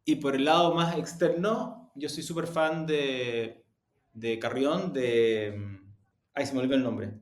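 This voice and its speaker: A man's voice: medium pitch, slow pace. A man with a Chilean accent answering interview questions reflectively, often rephrasing and qualifying what he says. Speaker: interview guest, podcast production executive. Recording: roomy, echoey sound.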